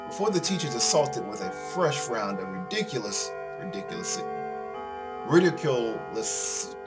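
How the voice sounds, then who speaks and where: A male gaming host with a very lively, swinging delivery over game music. He reads aloud and chats casually, stumbling on words and joking about it. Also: on the low side. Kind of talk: casual let's play, reading a visual novel aloud with commentary. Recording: music behind the voice.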